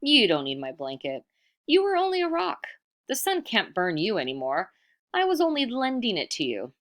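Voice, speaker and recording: A man's voice: high voice, wildly swooping pitch, normal speed, a bit bright, voice pushed up, full character voice. A woman voicing a vain, boastful young trickster man from a folk tale, in a self-important, theatrical way. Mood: self-justifying.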